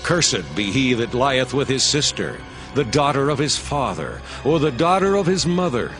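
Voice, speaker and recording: An actor playing a Levite priest who sternly proclaims curses before a crowd, in a dramatized scripture reading. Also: male voice, medium pitch, big pitch swings, moderate pace, close to an everyday voice. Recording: music bed beneath voice.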